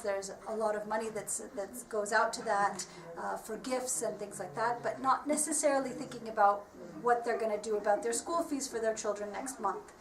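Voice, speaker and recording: A fairly high-voiced woman explains her work earnestly in an interview, often restarting her sentences with ums. She sounds distant from the microphone in a roomy, echoey space. A faint hiss of background noise sits behind her voice. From United States. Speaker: marketing advisor. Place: workshop premises.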